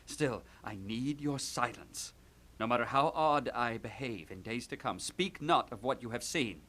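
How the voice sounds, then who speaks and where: A man's voice: mid-range voice, animated, measured pace, a bit bright. A man playing an anguished, brooding young prince out for revenge, declaiming in a theatrical Shakespeare puppet show. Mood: urgent, secretive. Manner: declamatory, dramatic.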